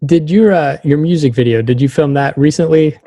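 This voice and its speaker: A man's voice: on the high side, a little fast, mellow, no echo. A man asking questions as a podcast interviewer in a casual, conversational style.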